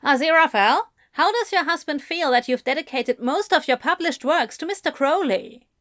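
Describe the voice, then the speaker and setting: Woman voicing a high, loud, pressing journalist. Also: expressive, normal speed, dry recording, studio quality. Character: eager, probing journalist at a literary event.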